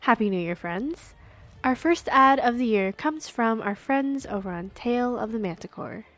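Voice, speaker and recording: A woman's voice: on the high side, animated, moderate pace, a bit bright. A woman hosting a podcast, giving casual announcements to listeners. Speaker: podcast host.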